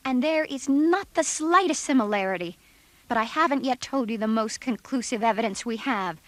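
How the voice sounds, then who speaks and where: A woman's voice: sits high, no room sound. An actress playing a confident female geophysicist giving a precise, formal briefing in a 1970s audio drama. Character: confident female geophysicist presenting a momentous discovery.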